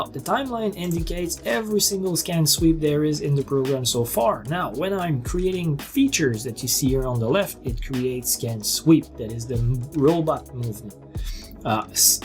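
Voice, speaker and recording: Man, slightly high voice, explanatory software demo, informal step-by-step delivery, music in the background. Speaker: software demonstrator. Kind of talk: explanatory software tutorial narration.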